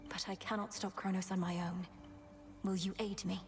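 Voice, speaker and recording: A woman's voice: mid-range voice, flat delivery, moderate pace, soft background music, close to an everyday voice. A woman voicing an earnest, determined young witch princess in a video game, speaking in a solemn, formal manner. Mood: grave, determined.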